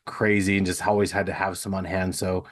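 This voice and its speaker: A man's voice: low-pitched, level tone, fairly quick. A man hosting a podcast, talking in a chatty, informal interview style. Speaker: podcast host. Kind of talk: casual conversational interview.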